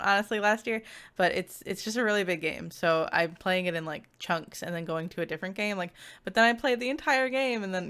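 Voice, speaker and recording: A woman's voice: mid-range voice, fairly bright tone. A woman giving a casual, chatty game review in an enthusiastic, informal and giggly manner. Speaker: video game reviewer and streamer.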